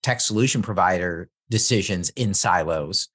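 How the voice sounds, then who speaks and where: A man's voice: low voice, moderate pace, fairly bright tone. A man hosting an interview, agreeing with his guest and building on the guest's points in easy conversation.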